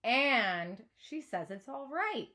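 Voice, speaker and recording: A woman's voice: on the high side, sing-song, slow, sharp tone, full character voice. Woman voicing a firm, cautioning male teacher. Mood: measured. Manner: emphatic, raising voice over students.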